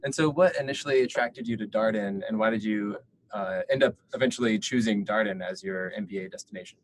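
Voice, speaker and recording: A man hosting an admissions webinar, asking short questions in a relaxed way with a few fillers. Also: lowish voice, a little fast, dry recording. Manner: relaxed, brief prompts, some fillers.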